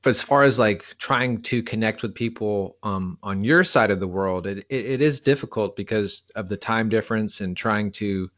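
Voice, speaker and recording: A man's voice: lowish voice, moderate pace, hardly any pauses, fairly bright tone. A man from the East Coast of the United States hosting an interview in a laid-back, friendly way, calling his guest "man". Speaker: podcast host. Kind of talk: casual interview chat.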